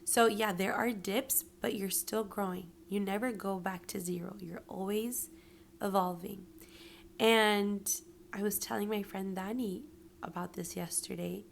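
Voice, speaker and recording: A woman with a hoarse, raspy voice and a gravelly edge talks earnestly about pain and healing, encouraging the listener. She often drops to a soft whisper to stress a point. A faint hiss sits under the recording. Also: mid-range voice, steady tone, unhurried. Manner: earnest, motivational, slips into whispers for emphasis. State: hoarse from yelling. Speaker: podcast host. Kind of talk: personal reflective monologue.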